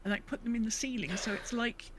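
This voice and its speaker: A woman's voice: on the high side, fast talker, a bit bright. Chatty woman with background noise. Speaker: podcast host; veterinary clinic worker. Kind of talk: casual chat.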